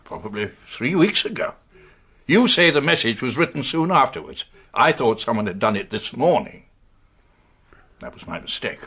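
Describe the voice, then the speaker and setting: An actor playing an evasive, indignant British gentleman under questioning in a radio drama, sardonic and defensive in an articulate way. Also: mid-range voice, very animated, moderate pace, slightly rough, heavily acted. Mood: resigned.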